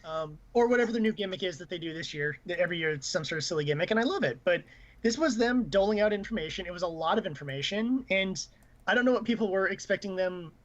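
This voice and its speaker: A man's voice: high-pitched, animated, quick pace. A man co-hosting a gaming livestream, chatting casually in a rambling, opinionated way. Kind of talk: casual chat and commentary on games news. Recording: muffled, lo-fi stream audio.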